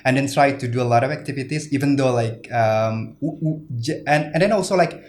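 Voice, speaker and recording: A man's voice: mid-range voice, fairly quick, darkish tone. A man from Indonesia answering interview questions earnestly, with many fillers and restarts. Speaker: guest speaker.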